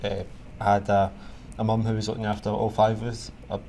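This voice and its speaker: A man's voice: on the low side, completely flat delivery, fairly quick, gravelly, warm tone, a little hiss or hum. A young man answering interview questions reflectively, with frequent hesitations. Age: young adult. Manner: hesitant, reflective, many uh fillers. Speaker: former mentored student.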